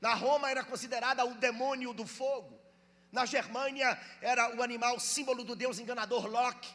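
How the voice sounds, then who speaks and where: A Brazilian pastor from Bahia with a Bahian accent, preaching a sermon, reading scripture slowly and calling on the congregation to answer. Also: male voice, very high-pitched, fairly even intonation, sharp tone, long reverb tail. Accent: Bahian Brazilian Portuguese. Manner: reads scripture slowly and drawn out, urges listeners to respond.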